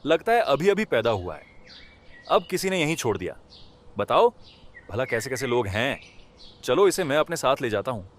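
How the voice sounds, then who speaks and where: Kindly poor villager for a cartoon, played by a man: mid pitch, very lively delivery, folksy and exclamatory. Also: moderate pace, long pauses, a little hiss or hum, natural voice. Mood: surprised, pitying. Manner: exclamatory, rustic colloquial. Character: kind, tender-hearted poor village man. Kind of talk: animated moral story acting.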